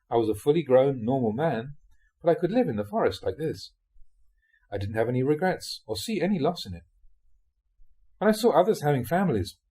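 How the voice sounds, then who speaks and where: A male monk reads translated Buddhist teachings aloud. His voice is mid-pitched, soft-edged and mellow, with some huskiness. The pitch moves freely, giving the reading an expressive, storytelling shape. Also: slightly rough. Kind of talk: reading translated Dhamma talks aloud, like an audiobook.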